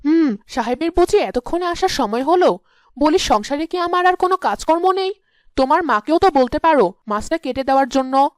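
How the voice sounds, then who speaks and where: An actress playing a proud, selfish village wife in a cartoon, with a haughty, put-upon manner. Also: female voice, high voice, animated, normal speed, dry recording, clean studio sound. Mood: irritated.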